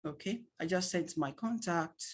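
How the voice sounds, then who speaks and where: A man's voice: a little high, moderate pace. A man with a Nigerian accent giving an online training lecture to parents, asking rhetorical questions and laughing often. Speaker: tutor.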